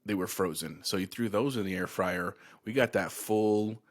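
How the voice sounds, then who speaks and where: A man co-hosting a casual gaming podcast, telling relaxed stories and chuckling easily. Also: fairly low pitch, normal speed. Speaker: podcast co-host.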